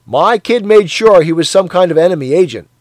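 A cheery, blustering middle-aged man , put on by an audiobook narrator, booms out boasts in a raised, higher voice. He is zealous and loud, and the recording is dry and close. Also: expressive, a little fast, dry recording. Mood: boastful.

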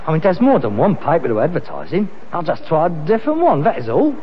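A man's voice: a little high, huge pitch swings, fast. An actor playing an aspiring, defensive rag and bone man son with a London accent in a vintage British radio sitcom, indignant and pleading. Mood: defiant. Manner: indignant, wheedling. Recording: muffled noisy old radio recording, audience.

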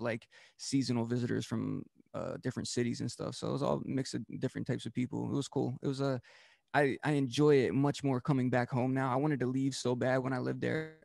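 A man's voice: mid-range voice, vocal fry, darkish tone, dry recording, studio quality. A man from Delaware, a DJ and podcast host, talking loosely as an interview guest, with many fillers and restarts. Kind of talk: casual interview talk.

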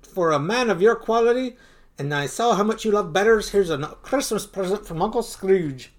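A man's voice: high-pitched, lively intonation, moderate pace, voice pushed up. A man voicing a grandiose, exclamatory old duck great-uncle while reading a comic story aloud. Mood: jovial. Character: Uncle Scrooge, excitable pompous old rich duck great-uncle.

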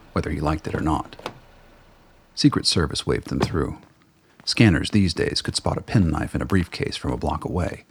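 A male narrator telling a radio play in a steady, grave way. His voice is very deep and rough with fry. Faint hiss sits under it. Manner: measured, grave.

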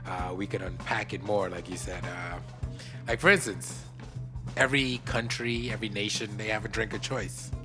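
A man's voice: lowish voice, steady tone, moderate pace, music in the background. A middle-aged man from Trinidad, a podcast guest, chatting playfully and joking with the host. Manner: playful, joking, laughs often. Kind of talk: casual podcast chat. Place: podcast studio.

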